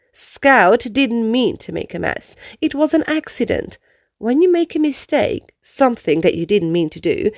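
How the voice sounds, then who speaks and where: Female storybook reader, high voice, very lively intonation, expressive teaching delivery for children, dry close sound. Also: no room sound. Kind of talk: read-aloud storybook narration.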